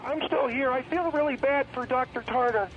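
A man voices a polite young male caller on a comedy radio show. The voice sits high, with a solemn, halting, deferential manner. It comes over a tinny telephone connection. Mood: solemn concern. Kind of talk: comic radio phone-in. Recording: tinny, noisy phone line.

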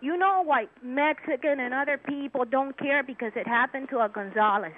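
A woman's voice: high-pitched, moderate pace. A woman calling in to a radio show, talking insistently and over the other speakers. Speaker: caller. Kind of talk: heated call-in talk. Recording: tinny phone line with faint hiss.

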